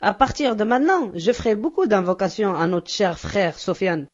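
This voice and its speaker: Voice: mid-range voice, normal speed, muffled recording, natural voice. An actor voicing a schoolboy athlete in an educational children's cartoon. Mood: contrite. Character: resentful, envious schoolboy athlete.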